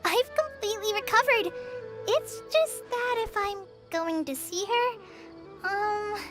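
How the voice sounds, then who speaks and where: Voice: extremely high pitch, takes it slowly, loud music behind the voice. An actor playing a small, timid, anxious young character in a video game, hesitant and fretful. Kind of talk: scripted video game dialogue.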